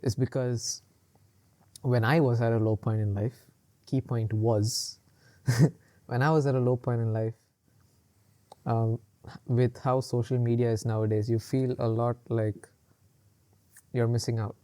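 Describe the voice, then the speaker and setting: Male podcast co-host, mid-pitched and mellow, loose rambling chat full of fillers and laughs. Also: little pitch movement, a little slow, long pauses. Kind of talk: casual chat.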